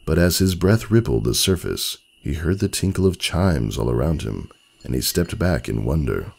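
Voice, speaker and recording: A man giving a dramatic storybook narration in a measured, solemn, theatrical manner. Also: a bass voice, expressive, creaky, a little mellow.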